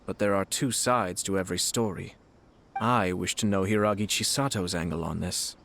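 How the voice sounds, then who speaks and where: A man playing a composed, shrewd young noble commissioner in a video game, speaking in a formal, polite, measured way. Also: deep voice, steady tone, vocal fry, fairly bright tone, slight background noise. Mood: calm, thoughtful.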